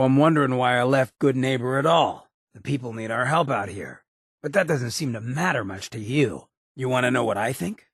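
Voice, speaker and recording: An actor playing a streetwise, roguish ghoul adventurer in a video game, with a heavily rasping voice and a wry, slangy, swaggering drawl. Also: male voice, mid-range voice, dry recording, studio quality, full character voice. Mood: warm, teasing, then stern, fed up. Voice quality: heavily raspy, gravelly. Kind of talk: video game character acting.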